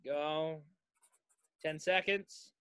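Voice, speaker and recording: A man's voice: on the high side, steady tone, drawn-out words, a bit bright, clear, resonant tone, no echo, studio quality. A man in his thirties chatting casually and playfully as a podcast host on a video call. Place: home basement.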